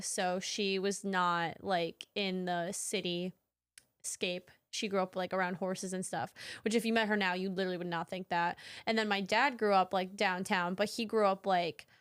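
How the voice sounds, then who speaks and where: A woman in her twenties from Troy, New York, chatting casually as an interview guest, full of "like" and quick laughs. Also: medium pitch, clean tone, no room sound, clean studio sound. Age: 20s. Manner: chatty, heavy use of "like", laughs often. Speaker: guest, fitness founder.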